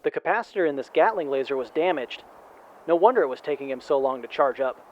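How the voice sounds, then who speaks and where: A man playing an eager, excitable young tinkerer in a post-apocalyptic audio drama, earnest and polite. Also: mid-range voice, fast talker, lo-fi sound, natural voice.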